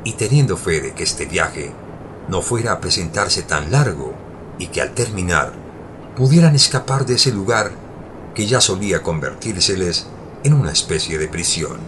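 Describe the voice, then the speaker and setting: Male story narrator, low pitch, formal literary delivery, scripted audio drama, clear ambient noise. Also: lively intonation, normal speed.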